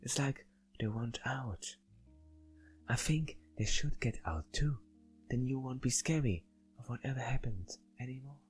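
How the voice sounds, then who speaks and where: A man's voice: medium pitch, expressive, a little slow, slightly rough, soft background music, pitched above the performer's own voice. A man voicing a caring, insistent woman friend in a visual novel, earnest and coaxing.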